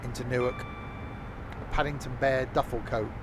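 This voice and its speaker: A man live-streaming a train simulator, chatting loosely with his viewers and filling pauses with lots of ums and uhs. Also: medium pitch, noisy background. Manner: relaxed, rambling, many fillers, chats with viewers. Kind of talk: casual live-stream chat.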